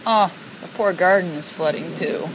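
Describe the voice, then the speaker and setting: An excitable amateur storm watcher filming and commenting on the weather in exclamations, often repeating words for emphasis. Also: mid-range voice, moderate pace. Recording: home video with rain noise behind. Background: heavy rain.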